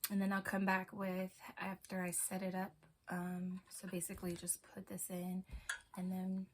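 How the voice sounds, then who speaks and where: A hoarse woman who has lost her voice, casually explaining an unboxing step by step and pausing with fillers, recorded in a small bedroom. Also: fairly low pitch, completely flat delivery, slight background noise. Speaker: product reviewer.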